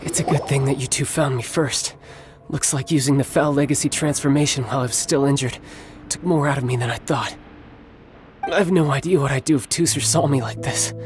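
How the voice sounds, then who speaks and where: A man playing a cocky, playful young warrior and doting older brother in a video game, smooth-talking and teasing. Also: medium pitch, steady tone, brisk, background noise, natural voice. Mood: weary, wry. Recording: background music under the voice.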